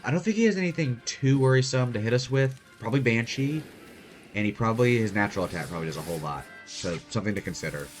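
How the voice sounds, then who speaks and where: A soft-spoken young man casually commenting on his gameplay as host. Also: mid-range voice, animated, fast talker, music in the background. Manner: quiet, soft-spoken, laid-back. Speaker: gaming channel host.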